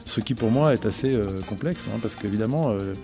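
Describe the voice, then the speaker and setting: A middle-aged man talking on the radio about songs he loves, thoughtful and pausing often to find his words. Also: lowish voice, music in the background. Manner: thoughtful, searching for words, many pauses and restarts. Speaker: radio guest, broadcasting executive. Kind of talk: reflective radio talk about favorite songs.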